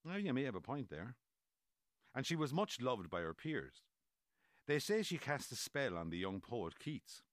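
An actor playing a learned, courteous gentleman in a radio drama, telling a story in formal, measured phrases. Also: medium pitch, big pitch swings, dry recording, studio quality. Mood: measured, knowing.

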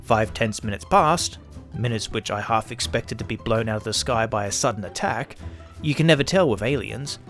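A man's voice: fairly low pitch, moderate pace, fairly bright tone. A man reading a scripted first-person pulp science fiction story as narrator. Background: faint music.

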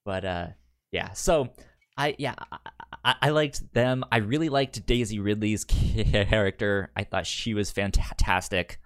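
A man's voice: lowish voice, unhurried, smooth. A man co-hosting a casual film discussion, stammering and repeating the first sounds of words. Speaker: podcast co-host.

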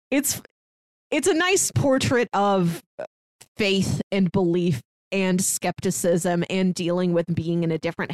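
A woman's voice: lowish voice, no room sound. Chatty woman podcast host, dry sound. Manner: enthusiastic, chatty, recounts plots. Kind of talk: casual chat.

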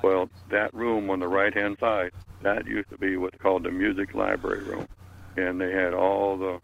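A man's voice: low-pitched, flat delivery, moderate pace, vocal fry, lo-fi sound. An elderly man in his eighties reminiscing casually in a rambling way, often repeating his words. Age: 80s. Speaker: panelist. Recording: faint background noise.

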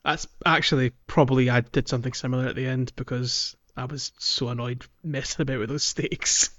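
Higher-pitched man, slightly muffled podcast chat. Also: steady tone, a little fast, fairly bright tone. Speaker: podcast co-host. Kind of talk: casual gaming podcast chat. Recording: dull lo-fi mic, faint music. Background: faint background music.

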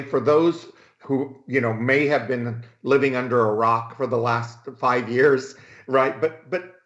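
Effusive male talk show host, echoey room. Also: mid-range voice. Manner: enthusiastic, effusive, repeats "right?". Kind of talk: hosting an interview show. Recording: dull lo-fi audio in an echoey room.

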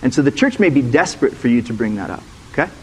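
A man's voice: mid-range voice, lively intonation, fast, slight background noise, rough recording. A middle-aged man, a former pastor, giving a sermon as a guest speaker, teaching in a conversational way and putting questions to the room.

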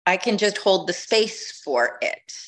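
A woman's voice: medium pitch, expressive, unhurried, low-quality recording. A middle-aged woman guest telling her story in a reflective, conversational way, often restarting phrases as she thinks. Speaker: podcast guest, retreat leader.

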